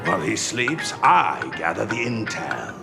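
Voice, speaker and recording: Slow, theatrical detective's assistant. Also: male voice, on the low side, wildly swooping pitch, gravelly, big-room sound, over loud music, exaggerated voice. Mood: businesslike. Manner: pompous, melodramatic, deliberate. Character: theatrical male detective's assistant doubling as narrator. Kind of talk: video game voice acting, noir-style narration.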